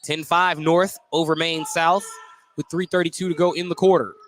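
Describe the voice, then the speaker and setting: A man's voice: fairly high pitch, level tone, moderate pace, a bit bright. A man doing live radio play-by-play commentary of a basketball game as the announcer. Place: indoor gymnasium. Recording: noisy live gym broadcast. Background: gym noise, bouncing basketballs.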